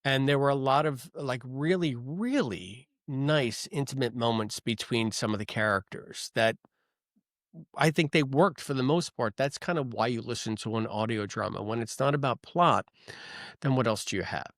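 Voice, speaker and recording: A man co-hosting a podcast, recorded close and dry with no room sound. His voice sits at an ordinary middle pitch. He talks in a rambling, thoughtful way, circling back with ums and restarts. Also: moderate pace, fairly bright tone, no echo. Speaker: podcast co-host. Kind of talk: casual review chat.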